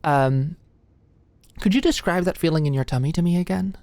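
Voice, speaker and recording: A woman's voice: fairly low pitch, moderate pace, warm tone, no echo, lightly acted. A woman playing an anxious, flustered young female medical student in an intimate ASMR roleplay, shy, hesitant and stammering. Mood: nervous, explaining. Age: young adult. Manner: stammering, hesitant, muttering asides under breath. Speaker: voice actor in audio roleplay.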